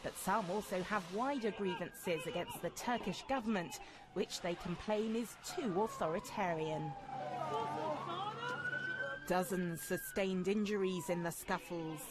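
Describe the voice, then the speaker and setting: A woman's voice: fairly low pitch, very noisy. Woman reading a formal news report. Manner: measured, formal news delivery. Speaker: news correspondent.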